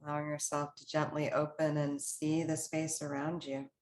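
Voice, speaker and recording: A woman leading a guided meditation, speaking in short, soothing, instructional phrases with unhurried pauses. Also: deep voice, level tone, darkish tone.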